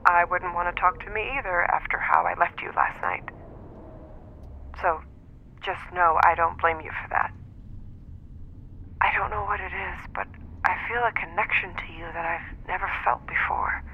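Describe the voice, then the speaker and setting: A woman's voice: on the low side, fairly even intonation, moderate pace, pauses often. An actress playing an earnest, vulnerable woman through a voicemail filter in an audio drama. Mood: earnest, wistful. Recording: muffled phone voicemail, faint noise.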